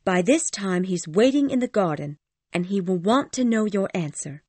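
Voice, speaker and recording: A woman playing a caring, anxious female servant, gently urgent, in a dramatized audiobook. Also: medium pitch, animated, normal speed, husky, no echo.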